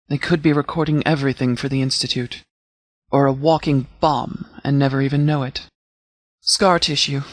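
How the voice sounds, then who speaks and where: Guy voicing a stern military commander for a video game, slightly high for a man, measured and formal, pretty dry sound. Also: dry recording, close to an everyday voice. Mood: grave, reflective. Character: stern, caring male military commander briefing troops. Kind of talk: scripted game dialogue.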